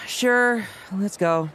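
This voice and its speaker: An actor playing a guarded, wry young knight in a video game, speaking in a dry, formal way. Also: male voice, sits high, very slow, sharp tone, a little hiss or hum, exaggerated voice, rough recording. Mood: skeptical, wry. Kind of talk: English video game voice acting.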